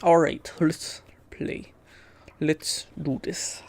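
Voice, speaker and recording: A man's voice: a little high, level tone, drawn-out words, a little mellow, a little hiss or hum. A man hosting a gaming video, chatting casually and reading game text aloud, often stumbling over the words as he goes.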